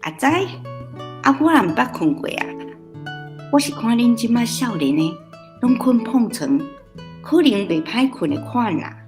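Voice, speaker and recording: A woman's voice: on the high side, very animated, moderate pace, long pauses, over music. A woman acting a kindly, patient old grandmother in a radio drama, speaking Taiwanese Hokkien-accented speech. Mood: fond, teasing.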